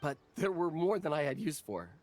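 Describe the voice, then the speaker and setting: A man's voice: a little high, sing-song, moderate pace, darkish tone, faint music behind. An actor voicing a nervous young snack vendor who stammers and apologizes while explaining his wares in a game cutscene.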